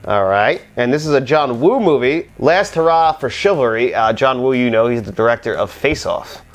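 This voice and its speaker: Slightly raspy, enthusiastic man. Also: mid-range voice, expressive, moderate pace. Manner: enthusiastic, talkative. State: hoarse, getting over the flu. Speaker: podcast co-host. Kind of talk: casual podcast chat.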